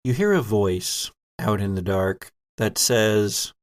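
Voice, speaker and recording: A man narrating a tabletop role-playing game as game master, in a measured, descriptive way. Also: on the low side, expressive.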